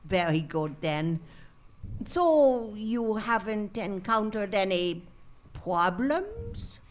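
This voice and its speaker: A woman's voice: medium pitch, very animated, very slow. A woman playing a prim, politely ominous hotel hostess in a live radio comedy. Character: formal, morbidly prying married woman hotel hostess.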